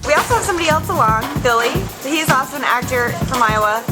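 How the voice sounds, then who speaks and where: A woman's voice: high voice, expressive, quick pace, over loud music. A woman narrating a road trip on camera in a chatty, upbeat way.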